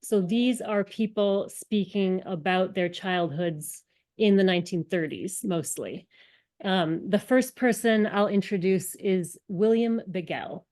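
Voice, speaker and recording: A woman's voice: medium pitch, takes it slowly, a little mellow. A woman giving an earnest, explanatory lecture, with frequent ums. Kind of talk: synagogue lecture presentation.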